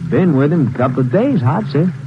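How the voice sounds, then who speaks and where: Hesitant young country fellow, old radio. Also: male voice, a little high, expressive, fairly quick. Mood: eager, folksy. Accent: rural American West. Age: young adult. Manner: folksy, stammering. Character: awkward, hesitant young country man, eager to oblige. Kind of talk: 1950s radio drama acting. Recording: hissy, muffled old radio recording.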